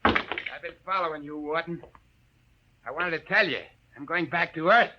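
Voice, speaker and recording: Shrill, jealous spaceman villain. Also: normal speed, bright, forceful tone, dull audio. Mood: gloating menace. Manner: sneering, menacing, taunting. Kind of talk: 1950s radio drama acting.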